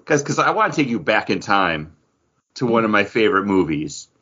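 A man's voice: on the low side, big pitch swings, moderate pace, sharp tone, dull audio. A man co-hosting a podcast, chatting casually with a geeky fan's enthusiasm. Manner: enthusiastic geeky fan talk. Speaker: podcast co-host. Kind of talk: casual pop-culture chat.

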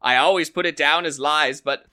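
A man's voice: on the high side, fairly even intonation, moderate pace, pushed and bright, clear, resonant tone. Field: a man reading Donald, a sly trickster. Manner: wheedling, boastful. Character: sly clever young Irish farmer trickster.

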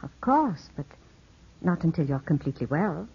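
An actress playing a poised, soothing widowed cousin who coaxes gently, in 1950s radio drama style on an old broadcast recording. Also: female voice, low-pitched, very animated, normal speed, slightly rough, exaggerated voice. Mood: soothing, evasive. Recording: muffled 1950s radio broadcast, music behind.

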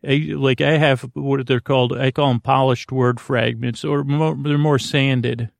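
A man with a creaky voice hosting a bedtime podcast, meandering informally and hesitantly through sidetracks and half-finished words. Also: medium pitch, fairly even intonation, a little slow, no room sound. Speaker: podcast host.

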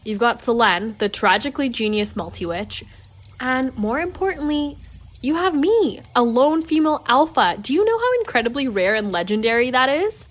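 A woman's voice: high-pitched, expressive, moderate pace. A woman playing a brash young werewolf in an audio drama, blunt, swaggering and sarcastic. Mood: cocky.

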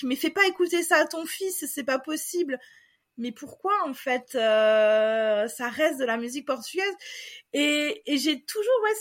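High-voiced hesitant French woman guest. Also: fairly bright tone. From France. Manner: hesitant, many fillers and restarts. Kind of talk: casual personal testimony.

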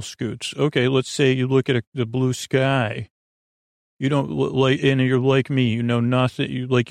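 A man with a gravelly, creaky voice delivers a rambling bedtime monologue, recorded close and dry. He meanders and restarts his sentences, gently absurd and self-deprecating, like a podcast host keeping a sleepless listener company. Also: medium pitch, no room sound. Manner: meandering, halting, often trailing off and restarting.

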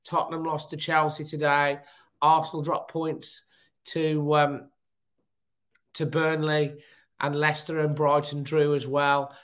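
Hoarse man hosting a fan show. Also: a little high, monotone, a little slow, a bit bright. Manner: chatty, upbeat, fan talk. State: hoarse with a cold. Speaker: football podcast host. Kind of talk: casual chat.

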